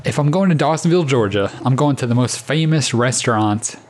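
A man's voice: medium pitch, expressive, brisk. A young man co-hosting a podcast, telling stories in casual chat. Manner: chatty, tells stories. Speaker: podcast co-host.

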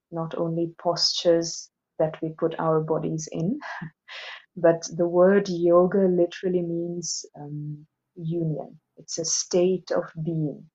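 Slow-spoken Indian woman, lowish voice. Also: a little mellow, lo-fi sound. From Assam, northeast India. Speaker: interview guest, yoga teacher. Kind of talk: thoughtful podcast conversation.

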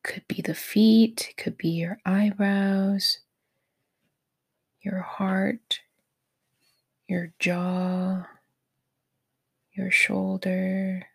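A woman leading a guided meditation in a soft, breathy, near-whispered voice, gentle and encouraging toward the listener. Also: medium pitch, little pitch movement, drawn-out words, long pauses. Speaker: meditation guide, podcast host.

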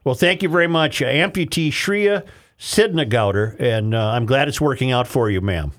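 A man hosting a radio show, interviewing a caller with mock-serious calm and short affirmations. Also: medium pitch, normal speed. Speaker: radio host. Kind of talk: deadpan comedy interview.

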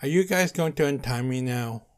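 A man's voice: mid-range voice, normal speed, close to an everyday voice. A man voicing a polite, long-suffering crocodile caretaker in a story, worried and pleading. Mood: resigned.

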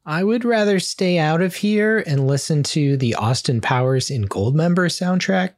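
A woman playing a sulky, suspicious teenage boy in a comedic table read, grumbling and deadpan. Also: deep voice, moderate pace.

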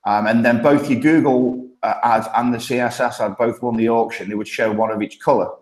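A man with a thick Midlands accent talks in a relaxed, rambling way as a guest on a podcast, often breaking into a laugh and restarting his sentences. His voice sits in a middle pitch and carries a roomy echo from the space around him. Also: brisk. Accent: English Midlands. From Stoke-on-Trent, England. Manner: chatty, laughs often, many ums and restarts. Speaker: guest, agency partnership manager. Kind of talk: casual podcast interview.

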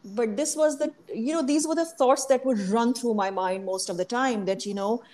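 A woman guest in a casual interview, speaking earnestly and reflectively, often pausing with fillers as she recalls. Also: fairly high pitch, warm tone. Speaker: guest, hypnosis coach.

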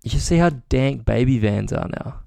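Gravelly young New man, dry. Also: mid-range voice, animated, normal speed, warm tone, no room sound. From New Zealand. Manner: laid-back slangy banter. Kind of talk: casual chat.

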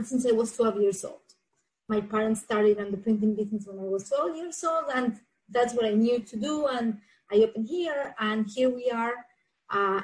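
A woman in her forties from Argentina, a business owner and podcast guest, talking in a friendly, halting way with many fillers. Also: fairly high pitch, poor audio. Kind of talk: interview conversation.

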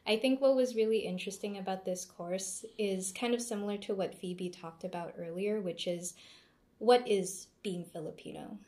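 A woman's voice: medium pitch, warm tone. Reflective young woman. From Canada. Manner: thoughtful, reflective, with searching pauses. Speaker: interview guest, former seminar student. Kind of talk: casual conversational interview.

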